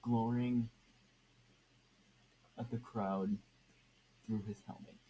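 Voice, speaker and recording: Male amateur fiction narrator: slow, stumbling delivery, faint noise on a home recording. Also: lowish voice, fairly even intonation, warm tone, low-quality recording. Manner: halting, stumbles and repeats words. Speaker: podfic narrator.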